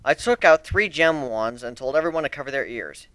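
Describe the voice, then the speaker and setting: A man's voice: mid-range voice, expressive, brisk, a bit bright, dry recording. A man reading a story aloud as dramatic first-person narration. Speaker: amateur story reader.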